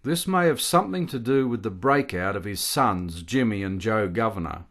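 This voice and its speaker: Colonial squatter's son played by a man, low male voice, matter-of-fact and unfeeling, radio-play monologue of old memories. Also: expressive, moderate pace, fairly bright tone. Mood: matter-of-fact reminiscing.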